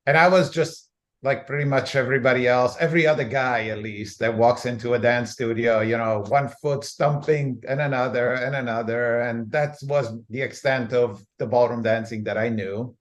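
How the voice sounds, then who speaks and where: A middle-aged man from Holland and Italy, a guest in a live online interview over a video call, relaxed and hesitant with many uhs. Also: medium pitch, moderate pace. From born in Holland, grew up in Italy.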